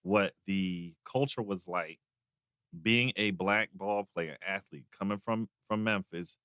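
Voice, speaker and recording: A man hosting a podcast, asking his guest questions and cheering him on with quick agreement. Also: low voice, a bit bright, smooth, dry recording, studio quality. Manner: eager, affirming, lots of quick agreement. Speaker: podcast host. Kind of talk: casual interview chat.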